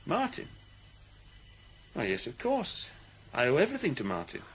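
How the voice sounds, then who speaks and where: A British man's medium-pitched voice, swinging widely as he goes an anxious man accused of a crime. He is halting and earnest in radio drama style, with slight noise behind him. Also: wildly swooping pitch, fairly bright tone. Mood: hesitant, subdued. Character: anxious accused man protesting his innocence.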